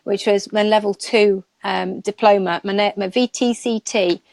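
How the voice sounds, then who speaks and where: A woman's voice: medium pitch, steady tone, normal speed, vocal fry, darkish tone, no room sound. A chatty woman, a nail technician, tells her story in a loose, rambling way with frequent laughs. The sound is dry and close, with almost no room. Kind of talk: casual live chat.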